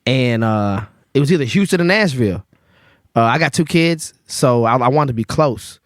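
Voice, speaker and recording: A man's voice: medium pitch, animated, normal speed, no room sound, clean studio sound. A man, telling his life story in a loose, slangy podcast chat. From Memphis, Tennessee. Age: 30s. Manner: laid-back slangy storytelling with fillers. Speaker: guest bartender.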